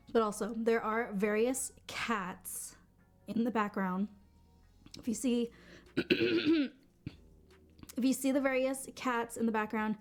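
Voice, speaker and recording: A woman hosting a casual live-stream product demo from her home basement studio, talking in a chatty way with many fillers and restarts, her voice a bit deep from being sick. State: sick, voice deeper than usual. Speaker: livestream product host.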